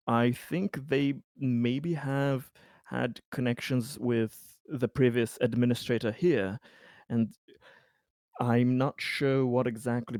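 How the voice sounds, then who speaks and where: A man playing a tabletop roleplaying game, improvising an advisory report in a hesitant, halting manner. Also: medium pitch, a little mellow, dry recording.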